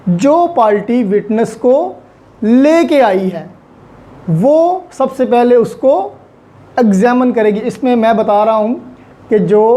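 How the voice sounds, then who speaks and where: A man's voice: sits high, warm tone. A man with a Pakistani accent giving an explanatory lecture as a law teacher, repeating and rephrasing points and mixing in English terms.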